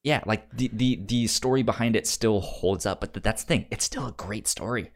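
A man co-hosting a podcast in casual movie debate, halting and often stuttering on repeated syllables. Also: lowish voice. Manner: halting, circling delivery. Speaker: podcast co-host.

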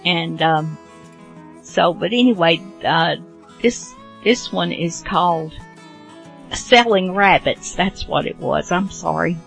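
A woman presenting a radio show in a somewhat low, unhurried voice. She is casual and unscripted, halting and rambling apologetically, with faint music underneath. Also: vocal fry, poor audio. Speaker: host of old radio drama show.

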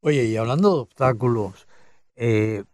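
A man's voice: medium pitch, a little slow, a little mellow, dry recording. A man co-hosts a radio show in an easygoing, conversational way. His voice sits mid-range and sounds dry and close. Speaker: radio co-host. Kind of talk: radio interview. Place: radio studio.

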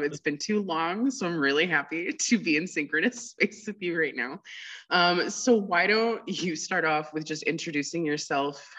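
Chatty podcast host, mid-pitched voice, lots of likes and ums, gushing over the guest. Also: sharp tone. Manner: chatty, effusive, heavy use of "like" and "um". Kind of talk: casual podcast interview.

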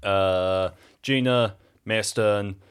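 A man with a slightly low voice chats as a podcast co-host. His delivery is casual and wry, with a self-deprecating streak. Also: fairly even intonation, very slow, clear, resonant tone. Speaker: podcast co-host and improv voice actor. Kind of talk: casual unscripted banter.

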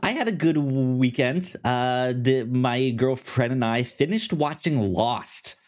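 A man co-hosting a movie podcast in a casual, chatty way, quick to laugh. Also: medium pitch, darkish tone. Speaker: podcast co-host.

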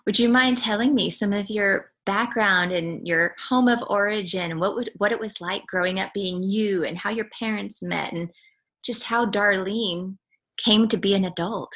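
Gravelly-voiced woman hosting an interview. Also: medium pitch, a little slow, fairly bright tone. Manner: encouraging, frequent affirming "mm-hmm". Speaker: podcast host.

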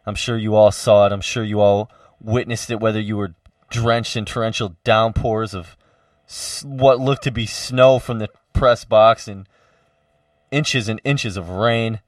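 Brief: young male sports radio host, energetic and loud, full of fillers, very dry studio sound. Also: fairly low pitch, a little slow, no echo. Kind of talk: casual college radio sports talk.

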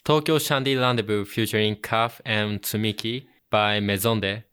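A young man in his twenties with a Japanese accent hosts a music radio show, his voice bright and crisp. He talks in an earnest, unhurried way with fillers and restarts, like a non-native speaker finding his words. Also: fairly low pitch, steady tone. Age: 20s. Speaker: radio host. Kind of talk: casual radio show introduction.

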